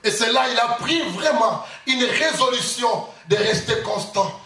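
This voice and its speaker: High, bright-voiced preaching pastor. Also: male voice, expressive, unhurried. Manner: emphatic preaching, bursts of shouting, repetition. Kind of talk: sermon. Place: church hall. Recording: echoey hall, far from mic, faint noise.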